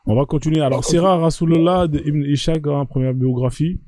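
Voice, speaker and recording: A man's voice: on the high side, fairly even intonation, fairly quick, dark tone. A man hosting a live stream, chatting casually and reading a document aloud, filling his speech with hesitations and tag words. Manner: peppers speech with "hein" and "euh".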